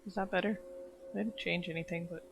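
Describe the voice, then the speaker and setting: A man doing casual live game commentary, calm and wry, asking bemused questions aloud as he plays. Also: high voice, quick pace, gravelly, over music. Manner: even-toned and calm. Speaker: game streamer. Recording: noisy stream with game ambience behind.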